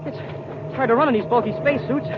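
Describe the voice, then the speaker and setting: An eager young space cadet sidekick, earnest, excitable and very high in voice. It is 1950s radio adventure acting, heard through a dull, noisy old recording. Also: male voice, fast. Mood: panicked. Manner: earnest, breathless, deferential.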